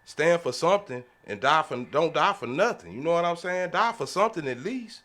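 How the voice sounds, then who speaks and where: A man's voice: fairly high pitch, animated, a little fast, a bit bright. A man on a podcast panel talking casually and emphatically, in slangy, repetitive phrasing. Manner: emphatic, colloquial, repeats himself. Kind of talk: casual heated discussion.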